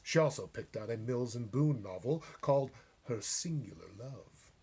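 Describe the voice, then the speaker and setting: Very husky, expressive male storyteller. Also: mid-range voice, normal speed. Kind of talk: expressive short story reading.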